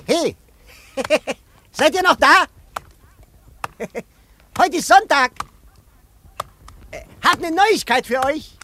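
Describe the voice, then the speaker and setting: A jeering village barber with a very high voice and slow delivery. He mocks and cackles in radio-play style. The recording has faint noise. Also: animated, bright, forceful tone, exaggerated voice. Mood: gleeful mockery. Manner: mocking, cackling between phrases. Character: jeering barber from nearby village, taunting newcomers. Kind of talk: German radio play acting.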